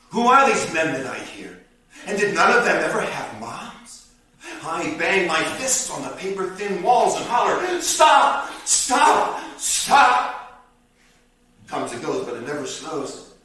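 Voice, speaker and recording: A man acting a haunted, sardonic storyteller in a dramatic stage monologue, deliberate and theatrical, with long pauses. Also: sits high, sharp tone, heavily acted. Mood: anguished, outraged. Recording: roomy echo, distant mic, faint noise.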